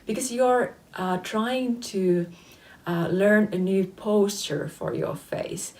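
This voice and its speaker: A woman in her late thirties speaks English with a Finnish accent in a slightly low, even voice. She explains step by step like a patient teacher, stopping now and then on a soft "um" before going on. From Finland. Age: 30s. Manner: explanatory, methodical, with frequent "um" fillers. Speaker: face yoga teacher. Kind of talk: instructional video talk to camera.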